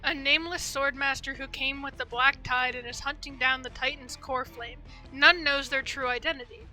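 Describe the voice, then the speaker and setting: A woman live-streaming a game with casual, excitable, gushing commentary. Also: high-pitched, normal speed, low-quality recording. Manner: excitable, gushing fan talk. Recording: game music behind the voice. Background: video game music.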